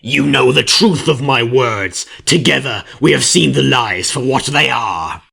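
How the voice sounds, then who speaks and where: A man's voice: mid-range voice, lively intonation, measured pace, vocal fry, pushed and bright, slightly rough, voice pushed up, heavily acted. A man voicing an arrogant male changeling, an insectoid villain who snarls and spits defiantly in a fantasy story. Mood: urgent, persuasive.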